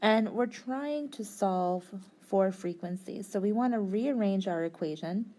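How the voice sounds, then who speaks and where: A woman's voice: medium pitch, a little slow, dark tone. A woman tutoring physics, explaining a worked problem step by step in a methodical, informal way.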